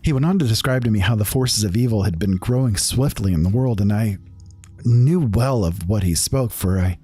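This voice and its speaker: A man narrating a first-person fantasy tale as a storyteller, with measured, formal, old-fashioned phrasing. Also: fairly low pitch.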